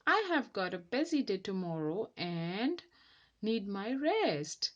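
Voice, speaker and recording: A woman's voice: mid-range voice, sing-song, slow pace, lo-fi sound. A woman voicing a cheeky, scoffing little male tank engine with a peeping manner in a children's storybook. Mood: backing out.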